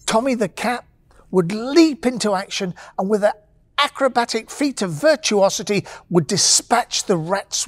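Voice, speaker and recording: A woman narrating a bedtime story with gentle, expressive pacing and dramatic pauses. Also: mid-range voice, breathy edge, no room sound.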